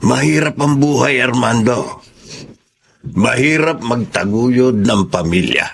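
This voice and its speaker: Old filipino grandpa in a radio drama, gravelly and raspy, patient, gentle fatherly advice. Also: medium pitch, full character voice. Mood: earnest, encouraging. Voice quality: gravelly, husky. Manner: gentle, halting, counselling.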